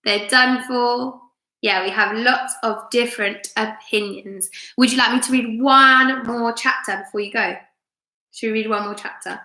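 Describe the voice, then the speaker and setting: A woman with a bright, fairly high voice hosts a live story time for children. She reads expressively and puts questions to her listeners, in a room with clear echo. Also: fairly even intonation, unhurried. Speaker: teacher reading aloud to children on a live stream. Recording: roomy, echoey room sound.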